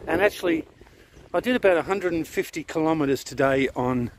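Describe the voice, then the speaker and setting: Aussie bloke, middle-aged, chatty camping vlog, camera at arm's length, faint wind behind. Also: male voice, fairly high pitch, brisk. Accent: Australian. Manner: relaxed, chatty, easygoing. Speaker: vlogger. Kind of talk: casual travel vlog narration. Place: outdoors by a lake, camping. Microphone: handheld camera at arm's length.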